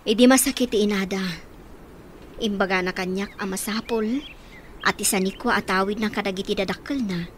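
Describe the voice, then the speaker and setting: A woman's voice: medium pitch, fairly even intonation, fairly quick, long pauses, a little hiss or hum, close to an everyday voice. An actress playing a concerned, helpful woman in an Ilocano radio drama, speaking in an earnest, conversational way. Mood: earnest appeal. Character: concerned helpful woman taking in orphaned children.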